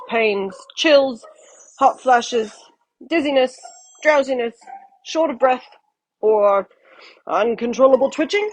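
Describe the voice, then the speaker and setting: A woman voicing a pompous, puzzled male doctor in a storybook, with a brisk professional manner. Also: on the high side, rough recording. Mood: astonished. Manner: exclaiming, listing, mumbling.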